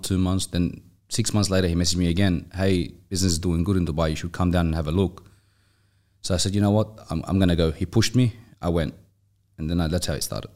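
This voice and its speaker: A man with a deep voice and an Australian accent talks as an interview guest. He is conversational, telling things like a storyteller in a casual podcast style. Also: a little mellow. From Australia, raised in Sydney. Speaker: interview guest, business owner.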